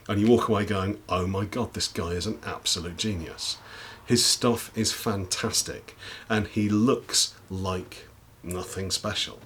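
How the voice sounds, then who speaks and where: A man from the UK, a YouTuber, talking casually to camera in a slightly husky voice after losing it, rambling and self-deprecating with lots of ums. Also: low-pitched, a bit bright. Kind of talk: casual recommendation chat to camera. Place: indoors at home desk with big lights.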